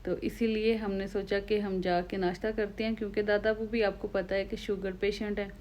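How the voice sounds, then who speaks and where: A young woman from Pakistan with a Pakistani Urdu accent talks straight to her viewers in a relaxed travel vlog. Her voice sits at a middle pitch and stays level, with little rise and fall. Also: a little fast, a little hiss or hum. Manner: chatty, conversational, speaking straight to viewers. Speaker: vlogger. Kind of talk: casual travel vlog narration.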